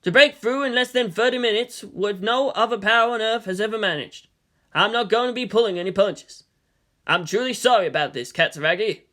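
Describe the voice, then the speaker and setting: A woman's voice: medium pitch, a little fast, fairly bright tone, lightly acted. A woman voicing a weary, stern male president in a dramatic story, grave and emphatic. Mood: grave, resolute.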